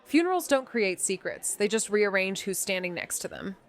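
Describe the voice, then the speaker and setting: An actress playing a wry, watchful young amateur sleuth in a noir audio drama, dry and understated. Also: female voice, mid-range voice, big pitch swings, moderate pace. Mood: wry, brooding. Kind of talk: noir audio drama dialogue and first-person narration.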